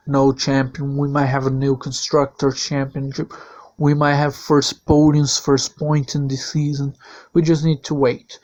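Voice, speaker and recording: A man whose first language is Portuguese, hosting a league channel with a voice-over season preview. He delivers a plain, steady recap in non-native English phrasing. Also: a little high, dull audio.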